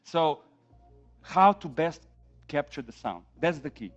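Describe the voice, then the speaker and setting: A middle-aged man with a Serbian accent lecturing a class on film sound, animated, acting out quotes from set, and checking in with "yeah?". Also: a little high, slow, lo-fi sound, soft background music.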